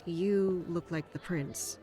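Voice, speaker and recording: A woman's voice: on the low side, fairly even intonation, takes it slowly, dark tone, faint music behind. A woman playing a stern, vigilant young guard in an audio drama, serious and matter-of-fact. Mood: curt. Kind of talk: audio drama narration and dialogue.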